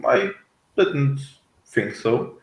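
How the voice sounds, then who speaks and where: A man in casual review chat as a co-host, speaking in a hesitant, halting way. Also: medium pitch, sing-song, roomy sound. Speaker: podcast co-host reviewing a TV series. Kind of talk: casual review chat, partly reading notes aloud.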